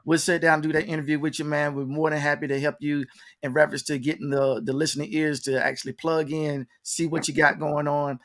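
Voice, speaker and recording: A man's voice: a little high, flat delivery, fairly quick, fairly bright tone. A man hosting a casual conversational interview, often repeating himself and speaking straight to the audience. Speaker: interview show host.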